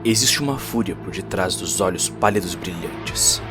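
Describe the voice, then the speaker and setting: A low male voice narrates a cyberpunk tale in Brazilian Portuguese. The reading is scripted and dramatic, with music playing faintly behind it. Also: normal speed.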